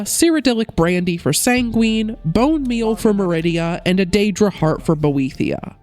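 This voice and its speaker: A woman giving a steady, explanatory gameplay narration as a gaming video creator, her voice a little off while she recovers from a cold. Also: medium pitch. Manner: steady, explanatory rule rundown. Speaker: gaming YouTuber.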